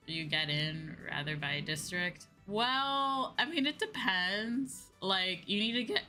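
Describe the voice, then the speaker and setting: Bright-voiced woman streamer chatting over music. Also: fairly low pitch. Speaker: VTuber streamer; high school teacher. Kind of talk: casual livestream chat, replying to viewers. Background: background music.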